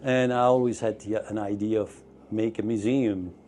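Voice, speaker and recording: A man's voice: lowish voice, steady tone, moderate pace, darkish tone, slight background noise. A middle-aged man from Belgium, a museum founder eagerly telling his story in slightly broken English during an interview. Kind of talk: on-location interview. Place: museum, indoors.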